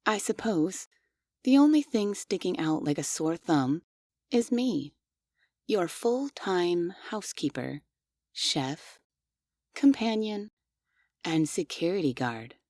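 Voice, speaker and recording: A woman as a slow, doting robot maid. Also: on the low side, sing-song, no echo, studio quality, exaggerated voice. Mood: gentle, doting. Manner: soft, formal and servile, with quietly unsettling questions. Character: devoted obsessive female android maid, polite but creepy. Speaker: voice actress. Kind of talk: ASMR audio roleplay.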